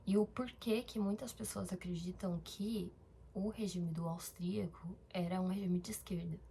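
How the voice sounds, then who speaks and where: A woman's voice: fairly low pitch, steady tone, moderate pace, creaky, mellow, a little hiss or hum. A young woman from Brazil talking casually in a diary-style vlog, rambling, with fillers and self-corrections. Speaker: vlogger.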